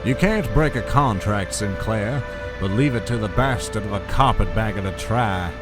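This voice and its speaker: A man's voice: fairly low pitch, normal speed, over loud music, close to an everyday voice. A man playing a domineering older oil tycoon in an audio drama, blustering and threatening. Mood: contemptuous.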